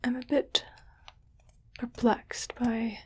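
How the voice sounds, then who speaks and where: A rich alien lady acted by a woman speaks in an airy, hushed whisper for an ASMR roleplay. Her delivery is slow and deliberate, with a lightly raised pitch. She sounds detached and curious, talking down to her human pet with cool condescension. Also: level tone, heavily acted. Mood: condescending, mildly disgusted. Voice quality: breathy whisper.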